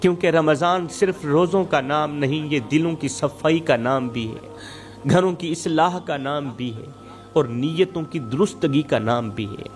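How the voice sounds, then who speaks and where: A male preacher with a high-pitched voice delivers a moral sermon in a storytelling style. He exhorts his listeners emphatically, building points through rhetorical repetition. Faint music plays behind his voice. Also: normal speed.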